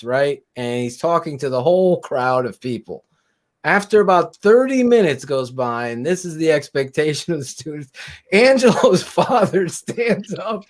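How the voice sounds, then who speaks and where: A man co-hosting a casual sports talk show, telling stories animatedly and laughing often. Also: fairly high pitch. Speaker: podcast co-host.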